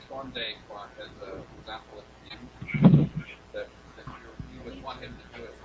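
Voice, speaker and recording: A man's voice: mid-range voice, fairly even intonation, moderate pace, warm tone, poor audio. A man making an unscripted technical remark from the audience, picked up faintly off mic. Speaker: audience member. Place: indoor conference room.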